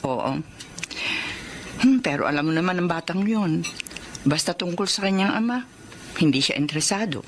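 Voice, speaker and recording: An actress playing a kind, devoted middle-aged mother who narrates her own story and speaks gently and earnestly. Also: on the low side, expressive, moderate pace, long pauses, slight background noise. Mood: caring. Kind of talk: first-person dramatic narration.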